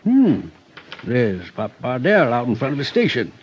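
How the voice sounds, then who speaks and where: Old prospector, rural American West accent, homespun and animated, muffled vintage radio sound. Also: male voice, mid-range voice, normal speed. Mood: friendly, folksy. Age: older, around sixties. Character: folksy old mountain prospector, friendly, plain-spoken. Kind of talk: 1950s radio drama acting. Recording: muffled, faintly hissy vintage radio recording.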